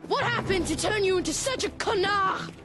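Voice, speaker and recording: High-pitched defiant street boy. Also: moderate pace. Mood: indignant. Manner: cocky, earnest, boyish. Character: defiant, idealistic scrappy French street boy. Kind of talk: dramatic video game cutscene acting. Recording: music behind the voice.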